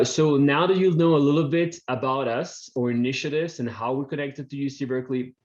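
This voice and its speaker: A man from Belgium leads an online workshop as its host. His pitch sits mid-range and his talk is upbeat and filled with hesitations. The sound is slightly muffled, like call audio. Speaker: host, bank program manager. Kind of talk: webinar hosting. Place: video call. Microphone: video call.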